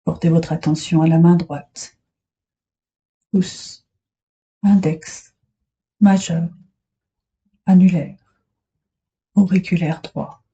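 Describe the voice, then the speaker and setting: Woman, yoga relaxation guide, very mellow dark voice, slow soothing phrases, long pauses, dull lo-fi sound. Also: on the low side, fairly even intonation, husky. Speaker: yoga nidra instructor. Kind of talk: guided meditation, relaxation instructions.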